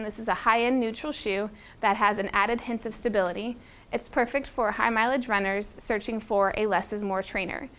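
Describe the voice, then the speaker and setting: A young woman presenting running shoes and gear for a retailer, reading a script in an upbeat way with small slips, on a lapel mic in a studio. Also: fairly high pitch. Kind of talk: scripted product rundown. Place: studio with a plain grey backdrop.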